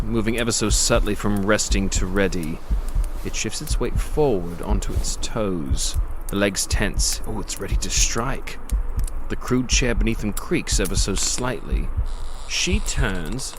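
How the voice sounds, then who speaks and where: An actor playing a gloating predatory monster who narrates a dark fantasy audio drama in a theatrical, savoring, sardonic manner. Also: male voice, fairly low pitch, a little hiss or hum, natural voice. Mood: ominous, savoring.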